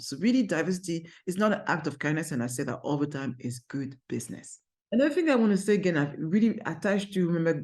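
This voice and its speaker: A middle-aged agency founder with a strong French accent, a native French speaker, giving energetic, emphatic business advice to camera from an office, with frequent restarts. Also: mid-range voice.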